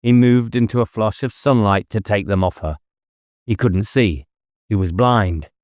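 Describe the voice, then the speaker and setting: A man's voice: lowish voice, a little slow, darkish tone, dry recording, studio quality. A man reading a novel aloud as an audiobook narrator, in a scripted dramatic style.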